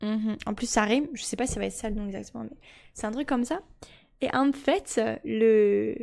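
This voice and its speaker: A woman's voice: on the high side, moderate pace, gravelly, a little mellow. A young woman, chatting casually and rambling as she streams a game, often correcting herself. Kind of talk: casual chat while gaming.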